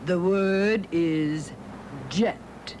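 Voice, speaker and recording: A man's voice: high-pitched, drawn-out words, a little mellow, slight background noise. A man playing a pompous, skeptical judge who ponders as he talks on a children's educational puppet show. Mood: pondering, self-assured. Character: pompous, skeptical male judge, hard to convince.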